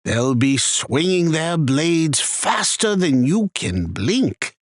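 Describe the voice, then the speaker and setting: An actor voicing a chatty, wry male shopkeeper in a video game, with a theatrical, deliberate sales patter. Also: fairly high pitch, big pitch swings, no echo, studio quality, heavily acted. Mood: earnest selling. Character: chatty male shopkeeper, wry, easily annoyed arms trader. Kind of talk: scripted video game character lines.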